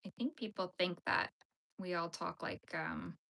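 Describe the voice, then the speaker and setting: A woman's voice: fairly low pitch, huge pitch swings, unhurried, gravelly, bright, forceful tone. A young woman, chatting playfully with her livestream viewers while she crochets. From Canada. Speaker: livestream host.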